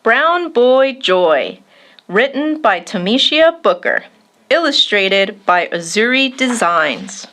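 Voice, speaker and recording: Bright, high-voiced woman reading to children. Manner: expressive, measured delivery addressed to children. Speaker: children's storytime host. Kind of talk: picture book read-aloud for young children.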